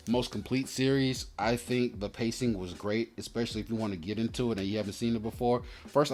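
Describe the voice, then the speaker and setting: A man giving casual, rambling commentary as host while opening card packs, slangy and joking with mock-aggrieved asides. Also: medium pitch. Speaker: host of a trading card pack-opening show.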